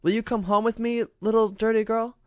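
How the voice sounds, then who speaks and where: A man's voice: high voice, little pitch movement, a little fast, darkish tone, dry recording, clean studio sound, pitched above the performer's own voice, natural voice. A man voicing a spoiled, vain little princess who talks in a haughty, self-satisfied way.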